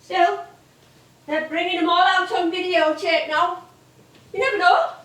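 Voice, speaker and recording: An actress playing an older English music-hall comedienne on stage, wistful and chatty, reminiscing in a rambling way. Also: female voice, very high voice, steady tone, a little slow, a bit bright. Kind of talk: theatrical stage monologue and dialogue. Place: theatre stage. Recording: echoey stage, distant microphone.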